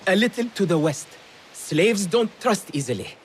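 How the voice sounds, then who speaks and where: An actor playing a secretive, politely chatty informant with refined manners in a video game cutscene. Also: male voice, sits high, big pitch swings, normal speed, a little hiss or hum. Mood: conspiratorial, courteous. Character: genteel, secretive, playfully excited male informant.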